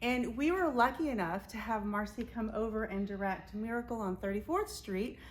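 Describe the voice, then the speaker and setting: A woman's voice: a little high, moderate pace. A woman hosting a casual promotional interview, effusive and complimentary as she speaks. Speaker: interviewer and host for a community theater.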